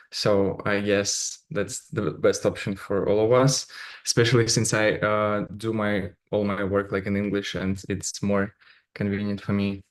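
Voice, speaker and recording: A man from Russia with a Russian accent, a native Russian speaker giving a conference talk in English over a video call, halting and filling his speech with many "uh"s and "like"s. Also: on the low side. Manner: halting, many fillers and restarts. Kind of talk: remote conference presentation.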